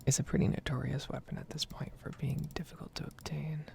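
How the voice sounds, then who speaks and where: A woman speaking in a breathy whisper, rambling casually with some swearing in an ASMR gaming video. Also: low-pitched, flat delivery, brisk, a little mellow.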